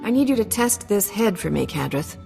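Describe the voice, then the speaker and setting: A woman's voice: medium pitch, very animated, a little fast, creaky, over music. An actress playing a sardonic, self-assured female smuggler captain in a video game, wry and blunt.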